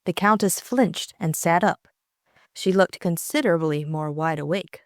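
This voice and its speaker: A woman's voice: on the low side, unhurried, no room sound, clean studio sound. A woman reading a story aloud as an audiobook narrator.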